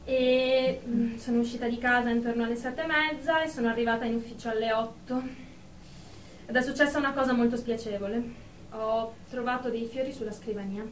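An echoey, slightly distant radio drama recording. A woman acts out a snooty, prim office lady with a fairly high voice, clipped and superior, quick to take offence. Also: steady tone, lightly acted. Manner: superior, clipped, indignant, formal. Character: haughty, prim, easily offended female office professional in therapy. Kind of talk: Italian radio drama acting. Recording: echoey room, distant mic, background noise.

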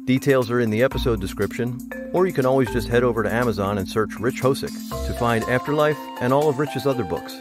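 A male podcast host delivers scripted narration in a mid-range voice. Marimba music plays clearly behind him. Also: mid-range voice, fast talker. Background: marimba music bed.

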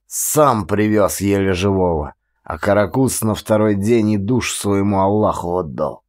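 An old former policeman, acted by a male audiobook performer, spins his stories in a low voice at a very slow pace. He is theatrical and folksy, proud of his past and aggrieved about his fall. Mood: wistful, aggrieved. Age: older. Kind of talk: dramatic audiobook acting.

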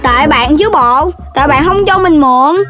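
Cartoon piglet boy, acted: very high, unhurried, cheeky, food-loving and blunt, over music. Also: close to an everyday voice. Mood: blunt, cocky. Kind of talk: children's cartoon dubbing in Vietnamese.

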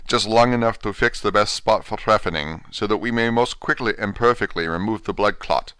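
A man's voice: fairly bright tone, clear, resonant tone, dry recording, lightly acted. A man doing a grave old professor. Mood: stern. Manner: low, measured, grave. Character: grave elderly male professor, stern and calm.

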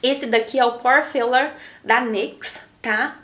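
High-voiced Brazilian woman with a nasal cold. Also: fairly even intonation, takes it slowly, sharp tone. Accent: Brazilian Portuguese. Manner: chatty, affectionate, ends phrases with tag questions. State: has a cold, slightly nasal voice. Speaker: makeup artist and beauty vlogger. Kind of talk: beauty tutorial to camera. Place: small indoor studio in front of a logo backdrop. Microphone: camera framing head and shoulders. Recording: roomy echo.

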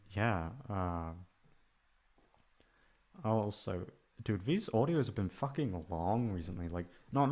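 Man, low voice, rambling casual chat, self-deprecating, sweary, soft music behind. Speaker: audio creator addressing listeners.

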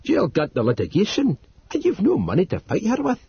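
A man's voice: high-pitched, huge pitch swings, mellow, pitched above the performer's own voice, exaggerated voice. Man doing a dour old retainer. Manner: slow, gruff dialect speech. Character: taciturn old male retainer, dour and blunt. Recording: dull dry studio read.

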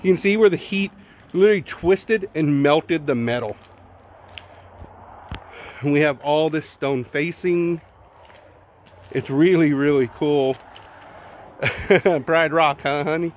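A man's voice: fairly high pitch, takes it slowly, long pauses, a little hiss or hum. Chatty Texan man filming a walk outdoors. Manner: chatty, thinking aloud, repeats words. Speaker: vlogger exploring ruins. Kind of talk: casual walking tour narration. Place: outdoors at a ruined zoo. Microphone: handheld phone camera.

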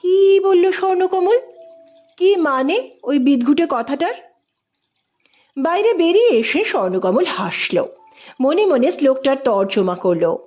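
A woman with a fairly high voice narrates fiction as a storyteller. Her reading is scripted and expressive, following the characters through the scene. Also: a little slow, long pauses, dull audio.

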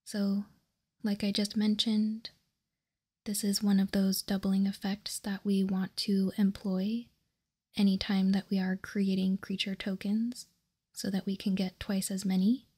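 A woman whispering in a hushed, calm and deliberate ASMR style as she gives card-by-card commentary, close to the microphone. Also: mid-range voice. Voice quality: breathy, unvoiced whisper. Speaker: host of a card game ASMR channel.

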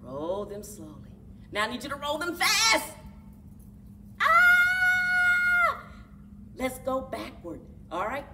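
A middle-aged woman speaking to young children as a story time host, with exaggerated, drawn-out storyteller delivery. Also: high voice, huge pitch swings, far from the mic, very noisy. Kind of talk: expressive picture-book read-aloud and leading action activity for children. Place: indoors, children's library room.

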